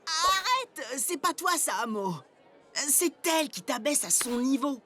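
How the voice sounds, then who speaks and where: A woman's voice: high voice, sing-song, slow pace, bright, forceful tone, exaggerated voice. A woman voicing an earnest, loyal young bug in a cartoon, with emphatic, informal delivery. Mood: hurt, reproachful. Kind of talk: animated cartoon dub acting. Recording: music behind the voice.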